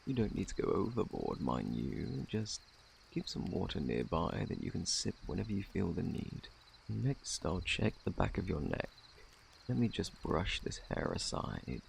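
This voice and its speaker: A man with a British accent playing a courteous, scholarly plague doctor in a soft-spoken ASMR roleplay, formal and gently reassuring. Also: big pitch swings, a little slow, slight background noise, heavily acted. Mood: gentle, attentive. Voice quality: very deep, creaky, hushed. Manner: formal, gentle, reassuring, old-fashioned wording. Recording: faint night insect ambience.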